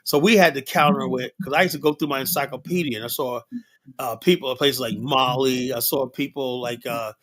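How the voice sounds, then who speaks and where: A middle-aged man from Liberia hosting a casual livestream discussion, rambling and animated, full of fillers and "you know?" tags. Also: mid-range voice, a little fast. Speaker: livestream host.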